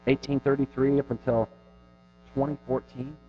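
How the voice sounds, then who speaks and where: A man in his thirties preaching a sermon in an earnest, conversational way, pushing hard on some phrases and dropping to a hush on others. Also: medium pitch, flat delivery, normal speed. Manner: earnest, conversational preaching with rising and falling emphasis. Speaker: pastor. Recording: dull lo-fi recording with faint music.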